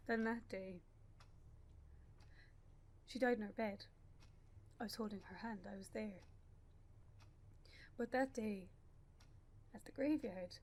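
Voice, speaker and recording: An actress with an Irish accent playing a grieving young mother in a radio play, speaking haltingly in a naturalistic style. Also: on the high side, a little mellow. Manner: halting, with pauses and repeated phrases. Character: grieving young bereaved mother, anguished and stubborn.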